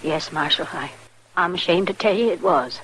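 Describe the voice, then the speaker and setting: An actress in a 1950s radio drama playing a worried frontier wife and mother who speaks in quiet, earnest, plain rural talk. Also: fairly low pitch, expressive, normal speed, slight background noise, rough recording. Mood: pleading.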